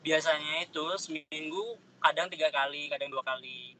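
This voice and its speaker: A young man, a trader, answering interview questions in a relaxed, slangy, chatty way. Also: a little high, moderate pace, poor audio. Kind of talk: casual interview chat.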